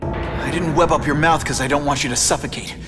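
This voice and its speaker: An actor voicing a young male wisecracking superhero in a video game cutscene, firm and confrontational with a quick, joking edge. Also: a little high, steady tone, over music, lightly acted. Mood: stern.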